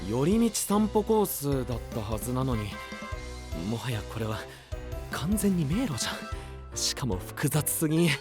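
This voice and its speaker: A man's voice: mid-range voice, very animated, over music. A man voicing a young male knight and diligent royal aide, boyish and exasperated, in an anime-style voice drama. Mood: exasperated, bossy. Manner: brisk, boyish, exasperated retorts.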